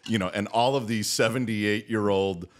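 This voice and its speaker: A middle-aged man co-hosting a podcast, telling rambling stories in a relaxed, chatty way. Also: normal speed. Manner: rambling storyteller, mimics senators in high mocking voice. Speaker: podcast co-host. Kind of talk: casual chat.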